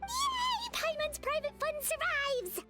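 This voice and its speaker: A woman voicing a small, excitable, cheeky fairy companion girl in a video game, with a squeaky, childlike voice and exclamatory manner. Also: slow pace, over loud music, full character voice. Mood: excited. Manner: exclamatory, talks of self in third person. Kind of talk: video game character acting.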